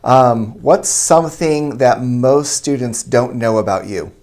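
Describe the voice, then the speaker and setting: Mid-pitched man hosting an interview. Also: takes it slowly, darkish tone. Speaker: school principal, interviewer. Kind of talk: semi-formal interview hosting.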